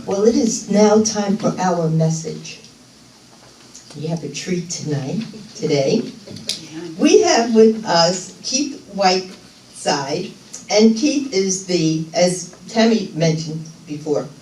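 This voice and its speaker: A woman leading a church service and introducing the guest speaker, warm and stumbling a little over names. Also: lowish voice, expressive, slow pace, recorded from a distance, a little hiss or hum. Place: church sanctuary. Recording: echoey church hall, voice from afar.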